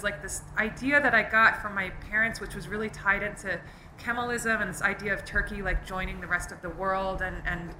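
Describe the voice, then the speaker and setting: Bright-voiced woman author, thoughtful. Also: medium pitch, slight background noise, low-quality recording. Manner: thoughtful, uses many fillers like "like". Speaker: author being interviewed. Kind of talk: live author talk.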